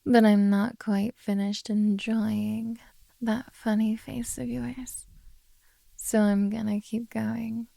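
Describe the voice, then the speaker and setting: A woman playing a possessive, teasing, motherly beast-girl captor in an intimate ASMR roleplay, speaking softly in a close-up murmur that slips into whispers. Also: fairly high pitch, flat delivery, vocal fry, no room sound, exaggerated voice. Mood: smug, tender. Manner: slow, soft teasing murmur slipping into whispers. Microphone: close ASMR microphone.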